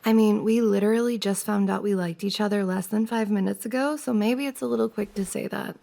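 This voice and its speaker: A woman playing a giggly, teasing young woman confessing to her crush in an intimate ASMR roleplay, with a creaky voice. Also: medium pitch, steady tone, a little fast, dark tone, close to an everyday voice. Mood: shy, cosy. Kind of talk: intimate ASMR roleplay, acted as one side of a conversation.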